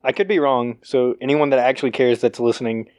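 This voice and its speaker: A young man rambles through a casual podcast chat, restarting his sentences and stacking fillers. His voice sits at an average pitch. The recording is dry, with no room echo. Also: steady tone, a little fast, a little mellow, smooth. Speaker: podcast co-host.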